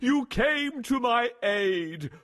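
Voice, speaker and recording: An actor playing a proud, hungry male lion king who booms, roars and laughs mockingly. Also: expressive, extremely slow, fairly bright tone, full character voice. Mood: grateful. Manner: booming, mocking laughter, roaring. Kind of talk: storybook voice acting.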